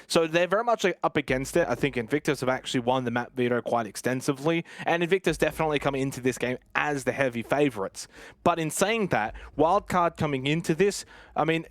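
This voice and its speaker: A man's voice: a little high, no room sound. A man giving live commentary and analysis on an esports match.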